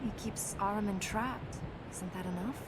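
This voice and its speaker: A woman playing a solemn, resolute young princess in a video game, speaking in an earnest, measured, matter-of-fact way. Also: lightly acted. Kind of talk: natural video game character acting. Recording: game capture with rumbling background noise.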